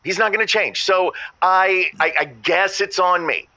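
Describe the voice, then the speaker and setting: A man's voice: high-pitched, unhurried, pushed and bright, no echo, full character voice. An actor playing an exasperated, pedantic man who calls a radio show, fussy and insistent, repeating himself in a comedic audio drama. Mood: aggrieved, resigned. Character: exasperated, pedantic man phoning in about his partner.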